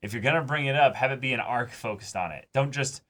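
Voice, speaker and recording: A man's voice: medium pitch, fast, pushed and bright, a little hiss or hum. A man co-hosting a reaction show, talking casually and critically, acting out imagined reactions as he goes. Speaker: co-host of an anime reaction show.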